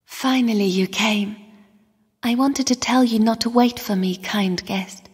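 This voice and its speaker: Breathy, whispering spirit woman in deep reverb. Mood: solemn warning. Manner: slow, hushed, solemn delivery. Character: mysterious, sorrowful young spirit sister of the void. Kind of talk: video game character voice acting. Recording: long cavernous reverb.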